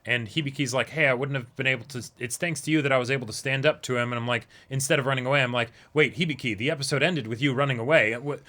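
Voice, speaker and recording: A man's voice: mid-range voice, fast, hardly any pauses, bright, forceful tone. A man with a mid-range voice chats casually as co-host of a show about anime. He talks in loose, conversational runs, often slipping into imagined quotes and checking that his point lands. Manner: conversational, acts out imagined lines, frequent "you know" and "right". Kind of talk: casual discussion of an anime episode.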